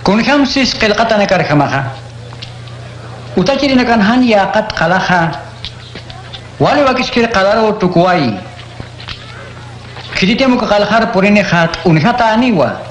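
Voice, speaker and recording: Un hombre interpreta a Jesús enseñando con calma y tono instructivo en un radioteatro cristiano. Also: male voice, high-pitched, unhurried, long pauses, slight background noise. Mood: teaching. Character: Jesus, calm adult male teacher. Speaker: voice actor. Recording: echoey room.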